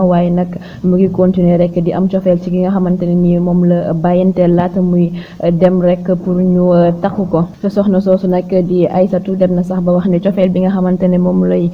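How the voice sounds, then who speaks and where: Female news presenter, Senegalese accent. Lowish voice, hesitant read, noisy recording. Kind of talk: news narration. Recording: dull lo-fi sound with clear noise.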